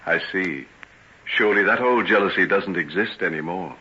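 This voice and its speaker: An actor playing a troubled but kindly doctor in an old-time radio drama, speaking in a soothing, halting way. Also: male voice, deep voice, expressive. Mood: friendly, wistful. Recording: dull vintage radio recording with noise.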